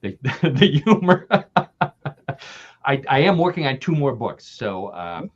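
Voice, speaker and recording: A man's voice: mid-range voice, very animated, takes it slowly. An older man from the American Midwest, chatting as a podcast guest, laughing often and repeating himself as he talks. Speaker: guest author. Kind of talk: casual podcast interview. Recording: dull lo-fi audio.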